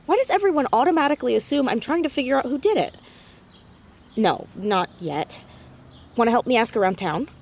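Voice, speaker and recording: A woman's voice: a little high, expressive, a little fast. A woman playing an earnest, inquisitive fourteen-year-old girl amateur detective in a naturalistic audio drama. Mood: upbeat, curious.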